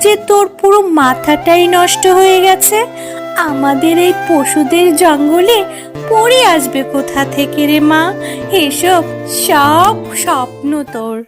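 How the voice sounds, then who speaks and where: A cartoon mother fox with a very high voice. She is stern yet caring, with a fretful, reproachful way of talking. Faint music plays underneath. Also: animated, a little slow. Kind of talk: children's cartoon dubbing.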